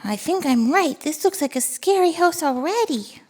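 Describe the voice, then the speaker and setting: Very high-pitched, excitable little monster. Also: female voice. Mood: playfully spooky. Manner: gleeful, giggly, breathless wonder, drawn-out words. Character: excitable, friendly little monster puppet who loves reading. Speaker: children's read-aloud host. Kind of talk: animated picture-book read-aloud for toddlers.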